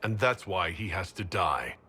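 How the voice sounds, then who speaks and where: Resolute plain-spoken hero, video game, very low voice, bright edge, serious, long hall reverb. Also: male voice, expressive, unhurried, full character voice. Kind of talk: dramatic video game acting.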